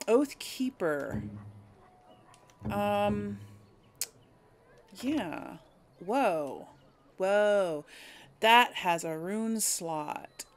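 Female gaming host, unhurried delivery, mid-range voice, hesitant and musing, casual commentary. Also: mid-range voice, expressive. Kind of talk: casual game commentary, thinking aloud.

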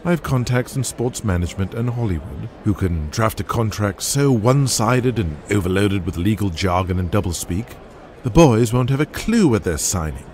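An actor playing a shrewd, folksy wrestling business adviser in an audio drama, speaking persuasively with the odd hesitation. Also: male voice, on the low side, lively intonation, moderate pace, darkish tone, a little hiss or hum, natural voice.

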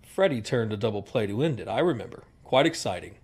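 An actor playing a proud, fussy old family servant who scolds in formal, wordy speech in a western audio drama. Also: male voice, lowish voice, sing-song, quick pace, darkish tone, breathy edge, heavily acted. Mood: stern, probing. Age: older.

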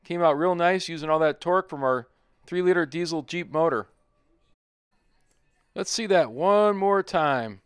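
A man's voice: a little high, a little slow, long pauses, clean tone, no echo. A middle-aged man narrating his off-road trip in a plain-spoken, easygoing voice-over. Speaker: overlanding vlogger.

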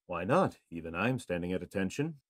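A man as a low-voiced, swooping noble marquis. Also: very animated, fairly quick, no room sound, clean studio sound. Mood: impatient. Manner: formal, courtly. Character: proud armored nobleman, a diplomatic human marquis. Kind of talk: visual novel voice acting.

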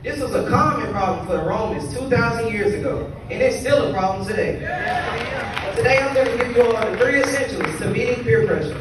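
Teen male youth preacher, high voice, earnest, distant phone capture in a very echoey church, crowd noise behind. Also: a little fast. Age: teens. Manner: earnest, reads scripture then preaches in short phrases. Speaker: youth speaker. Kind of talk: youth sermon at a convention. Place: large church sanctuary. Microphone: pulpit mic filmed by a phone from the pews. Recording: roomy far-off phone recording in a large hall. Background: congregation ambience.

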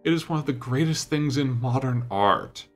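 A man's voice: medium pitch, expressive, normal speed, faint music behind, voice pushed up. A man voicing a suave, nonchalant aristocrat in an audiobook, amused and assured, with a languid manner. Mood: admiring, assured.

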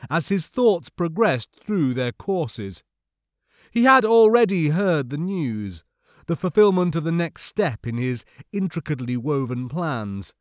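A man narrating a novel as scripted dramatic audiobook storytelling, in a measured, literary manner. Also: on the high side, no room sound, clean studio sound.

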